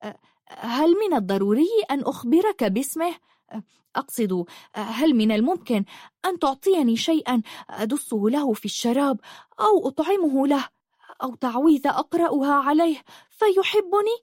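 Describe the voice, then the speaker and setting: Storybook princess, young, lonely, high and plaintive, hesitant delivery, very close dry sound woman reader performing. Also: animated, normal speed, a little mellow, dry recording. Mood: hesitant, pleading. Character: sad, beautiful young princess, shy and lonely.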